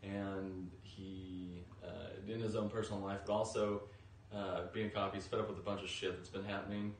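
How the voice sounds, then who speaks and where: A man's voice: deep voice, flat delivery, normal speed. A man in his thirties chatting casually as an interview guest. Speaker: interview guest, novice podcast voice actor. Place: indoors on a sofa in a living room. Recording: roomy, distant mic, faint room noise.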